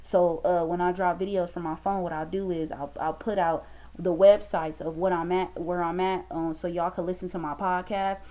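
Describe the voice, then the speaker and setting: A woman's voice: fairly low pitch, fairly even intonation, normal speed, warm tone. Young woman in her 20s hyping you up on webcam, emphatic, preachy, small room. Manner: emphatic, preachy, repeats for stress. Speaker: motivational vlogger. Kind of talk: motivational talk to camera.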